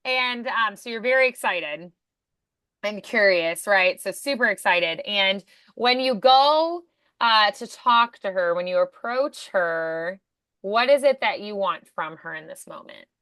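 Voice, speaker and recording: Bright, encouraging woman coaching voice acting. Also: medium pitch, animated, unhurried, clean tone, no echo. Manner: encouraging, affirming, prompting with questions. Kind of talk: one-on-one coaching, conversational questioning.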